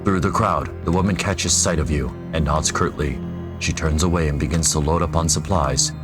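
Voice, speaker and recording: A man's voice: extremely low pitch, moderate pace, over loud music. A young man casually commenting while playing a retro role-playing game, musing aloud with wry asides and reading the game text. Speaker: gaming channel host. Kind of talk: casual let's play commentary.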